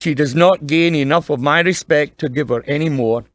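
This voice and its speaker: Man playing a kindly father, higher-pitched, dry. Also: moderate pace, no echo, close to an everyday voice. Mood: solemn, frank. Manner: earnest, gentle, solemn instruction. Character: kindly, earnest dying father advising his young son. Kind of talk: audio drama acting.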